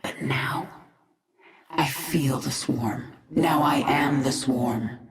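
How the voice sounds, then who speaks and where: A woman's voice: extremely low pitch, takes it slowly, slight background noise, heavily acted. An actress playing a powerful reborn alien swarm queen, cool and commanding. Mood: confident. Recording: roomy echo.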